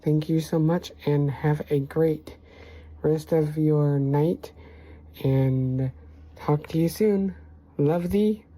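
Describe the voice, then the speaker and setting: A woman's voice: deep voice, fairly even intonation, takes it slowly, dark tone, dry recording. A woman talking in a casual group chat.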